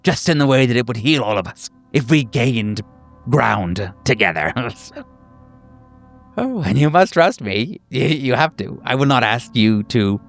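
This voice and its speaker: Man acting an excitable, stammering therapy doctor, swooping intonation. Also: mid-range voice, soft background music. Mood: agitated, effusive. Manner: halting, stammering, emphatic repetitions, nervous chuckles. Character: excitable, fawning male therapy doctor, sinisterly earnest. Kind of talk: theatrical group-session address.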